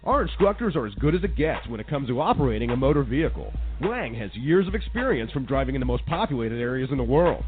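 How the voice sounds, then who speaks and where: Upbeat, earnest ad announcer played by a man, animated delivery, lo-fi radio spot with a light music bed. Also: fast talker, few pauses, close to an everyday voice. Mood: upbeat selling. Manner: earnest salesman pitch. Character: upbeat commercial announcer for dodgy driving school. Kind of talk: scripted parody radio ad. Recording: tinny lo-fi radio, faint music.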